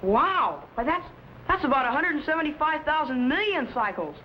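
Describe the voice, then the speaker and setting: An eager American teenage boy, high-pitched and earnest, in an old sci-fi serial. He is wide-eyed and respectful, heard through a dull, noisy vintage soundtrack. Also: big pitch swings, moderate pace. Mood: eager, curious. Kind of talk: 1950s sci-fi serial acting.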